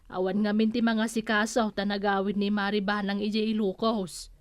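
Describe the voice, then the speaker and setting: A woman playing a gossipy, motherly storekeeper in a comedy radio drama, chatty, with a drawn-out teasing delivery. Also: mid-range voice, little pitch movement, no room sound, lightly acted. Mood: bossy.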